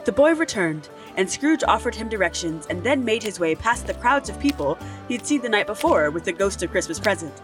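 A woman playing a spirited storybook narrator in an expressive, theatrical audio drama style. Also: medium pitch, fairly quick, hardly any pauses, music in the background, natural voice. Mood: cheerful.

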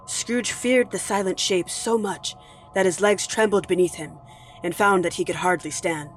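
A man narrating a dramatic story in a measured, solemn manner. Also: high voice, fairly even intonation, soft background music, close to an everyday voice, rough recording.

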